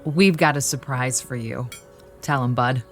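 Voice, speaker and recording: An actress playing a sharp-tongued, practical grown sister who talks curtly and dryly in an old television drama. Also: female voice, extremely low pitch, unhurried, faint music behind. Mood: dry, pushy. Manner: curt, dry, pointed. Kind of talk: mid-century television drama acting.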